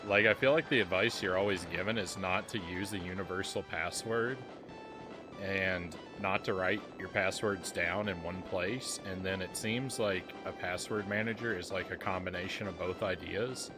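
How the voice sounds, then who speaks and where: A man's voice: sits low, normal speed, music in the background. A man from Kentucky streaming a retro game, reading chat aloud and chatting casually with plenty of ums and uhs. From Kentucky, USA.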